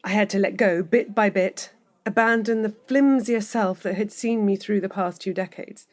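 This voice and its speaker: An actress playing an introspective, emotionally exposed middle-aged woman lawyer in an audio drama, speaking in a measured, earnest way. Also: medium pitch, fairly even intonation, natural voice. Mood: earnest, resolute.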